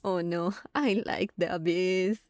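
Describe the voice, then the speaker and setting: A woman giving casual commentary on a game playthrough, thinking aloud in a halting way. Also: fairly low pitch, steady tone, a little mellow, dry recording. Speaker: gaming streamer. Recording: game music behind the voice.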